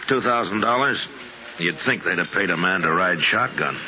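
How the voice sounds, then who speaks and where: An actor playing a steady, wary frontier lawman with an understated, unhurried manner in a 1950s radio western. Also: sits low, background noise. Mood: dry, relaxed. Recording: narrowband old radio recording with background voices.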